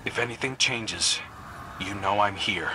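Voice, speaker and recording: A man's voice: fairly low pitch, measured pace, noisy background, lightly acted. A man voicing an earnest young space-soldier scout in a video game, speaking with urgency. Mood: concerned.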